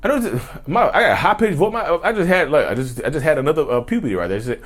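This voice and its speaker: Animated, emphatic man ranting. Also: on the high side, fast. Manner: animated and emphatic, slangy, addresses viewers as y'all. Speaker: YouTube host. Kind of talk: casual vlog rant.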